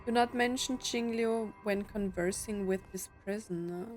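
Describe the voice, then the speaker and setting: Female gameplay streamer, mid-pitched, casual commentary and read-aloud, faint background music. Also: moderate pace, darkish tone. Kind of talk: casual game reactions and reading text aloud.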